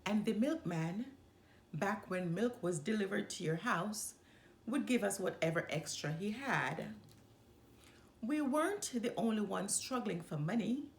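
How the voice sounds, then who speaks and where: Woman, children's read-aloud, expressive storyteller, very varied intonation, deliberate phrasing, echoey room. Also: medium pitch, gravelly, a little hiss or hum. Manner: deliberate, short phrases with pauses for emphasis. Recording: roomy, echoing room sound.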